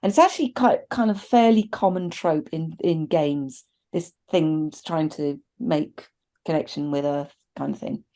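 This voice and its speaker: Middle-aged female podcast co-host, relaxed dark tone, slightly low register, reflective and chatty, discussing informally. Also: unhurried. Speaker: podcast co-host reviewing films. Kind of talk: casual discussion and commentary. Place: indoors at a desk, video call with virtual backdrop.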